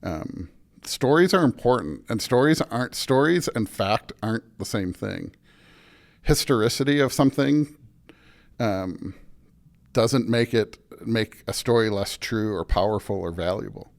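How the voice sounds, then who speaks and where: Gravelly American man, thoughtful and halting. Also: lowish voice, expressive. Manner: thoughtful, halting, searching for words. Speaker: pastor, podcast guest. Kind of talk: casual conversational interview.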